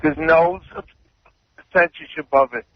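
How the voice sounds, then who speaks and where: A man's voice: high voice, huge pitch swings, a little slow, creaky, no echo. A man talking casually as a caller to a radio show. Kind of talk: casual call-in talk. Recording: dull, muffled radio call.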